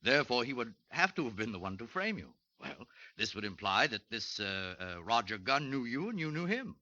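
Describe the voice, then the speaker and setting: An actor playing a calm, questioning psychiatrist in a 1940s radio drama, speaking in a measured, lecturing way. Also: male voice, medium pitch, no echo. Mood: probing. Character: calm, probing male psychiatrist, middle-aged. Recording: dull lo-fi vintage radio recording.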